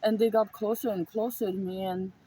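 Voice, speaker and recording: A woman's voice: medium pitch, steady tone, fairly quick, warm tone, a little hiss or hum, rough recording. A woman vlogger telling a personal story in a casual monologue, emphatic and repetitive, swearing freely. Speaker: spiritual vlogger.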